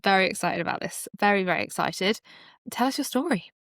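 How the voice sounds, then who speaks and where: A woman's voice: medium pitch, animated, brisk, fairly bright tone, no room sound, studio quality. A woman hosting a podcast, reading a polished, enthusiastic introduction and then welcoming her guest. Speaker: podcast host, leadership coach.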